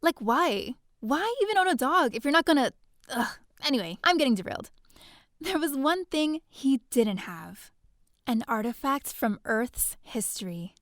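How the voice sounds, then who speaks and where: A high, closely recorded, dry voice tells a space-opera story as a chatty young heroine. She is theatrical and easily exasperated, sardonic in her asides and bookish at heart. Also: dry recording. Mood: indignant. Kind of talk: first-person scripted audio drama narration.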